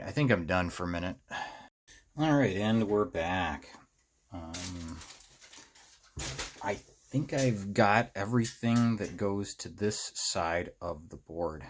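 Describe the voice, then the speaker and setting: A man talking through hands-on work in an unscripted tutorial, musing out loud in fragments as the host. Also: low voice, a little hiss or hum. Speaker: host of a DIY circuit bending video series. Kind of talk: unscripted hands-on tutorial commentary while working.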